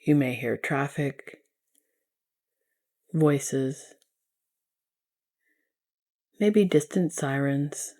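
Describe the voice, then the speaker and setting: A woman leading a guided yoga nidra meditation as a teacher, giving gentle, soothing instructions. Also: sits low, extremely slow, pauses often.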